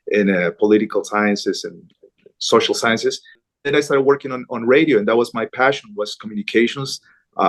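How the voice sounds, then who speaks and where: A middle-aged man from Colombia speaks in a lowish voice, earnest and a little halting, often restarting his sentences. He sounds like a news anchor chatting as an interview guest. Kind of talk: conversational interview.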